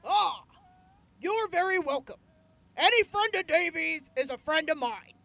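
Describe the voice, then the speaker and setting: Friendly female soldier, played by an actress, high bright voice, post-apocalyptic audio drama. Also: expressive, unhurried, vocal fry, no room sound, full character voice. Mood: welcoming. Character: friendly female soldier briefing old friends after long absence. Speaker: voice actor.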